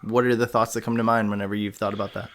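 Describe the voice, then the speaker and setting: Casual man hosting, creaky voice. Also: lowish voice, flat delivery, rapid-fire. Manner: informal, encouraging. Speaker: podcast host. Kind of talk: casual interview chat.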